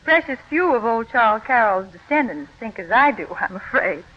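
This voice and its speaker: A woman's voice: fairly high pitch, sing-song, normal speed. Composed, shrewd 19th century lady, formal and articulate, old muffled radio drama. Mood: earnest, assured. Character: poised, shrewd 19th-century Southern lady lawyer and secret adviser. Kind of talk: 1940s radio drama acting. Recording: muffled vintage radio recording.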